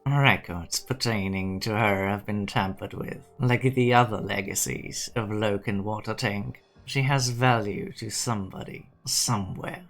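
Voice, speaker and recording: A man playing a cold, logical, condescending scientist in a fan dub, with a measured, cynical manner. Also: on the low side, steady tone, a bit bright. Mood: skeptical. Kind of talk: dramatic fan-dub voice acting.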